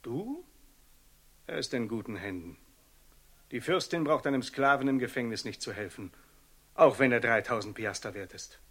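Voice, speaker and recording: Stern, commanding ruler. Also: male voice, mid-range voice, animated, fairly bright tone, slightly rough. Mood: suspicious. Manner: authoritative, measured, interrogating. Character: stern, commanding male ruler, grave and suspicious. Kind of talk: German radio play acting.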